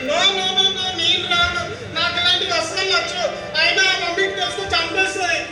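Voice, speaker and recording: A man's voice: very high-pitched, little pitch movement, a little fast. A man playing an earnest, reluctant teenage student in a stage play. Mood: reluctant, protesting. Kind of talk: amateur Telugu stage play acting. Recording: distant echoey hall, music and crowd.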